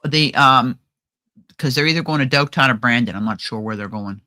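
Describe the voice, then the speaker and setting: Low bright-voiced chatty woman. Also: fairly quick, dry recording. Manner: chatty, animated, trails off and restarts. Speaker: co-host of a crafting livestream. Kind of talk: casual chat. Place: home livestream.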